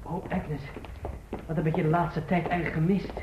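An actor playing an earnest, lovelorn young gentleman in a radio drama, frank and emphatic. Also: fairly high pitch, flat delivery, normal speed, natural voice. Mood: troubled, pleading. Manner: earnest, emphatic, well-spoken. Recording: muffled, noisy old radio recording.

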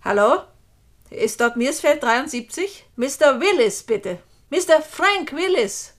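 Anxious young woman, high voice, urgent, imploring, dramatic radio-play delivery. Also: lively intonation, takes it slowly. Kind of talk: German radio play acting.

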